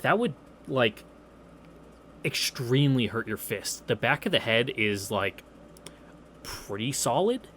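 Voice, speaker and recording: A man's voice: medium pitch, big pitch swings, slow pace, long pauses, a bit bright, a little hiss or hum. A man reading a visual novel aloud in a casual way, dropping sarcastic, sweary asides. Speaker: let's play host reading aloud. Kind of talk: casual read-through narration with wry asides. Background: game music.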